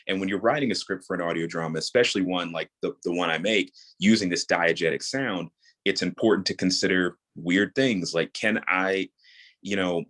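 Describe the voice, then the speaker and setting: A man with a notably low-pitched voice speaks as an interview guest. He explains things in a measured, deliberate, reflective way, as a creator describing his craft. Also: fairly bright tone. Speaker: guest, audio drama creator and voice actor. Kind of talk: reflective podcast interview talk.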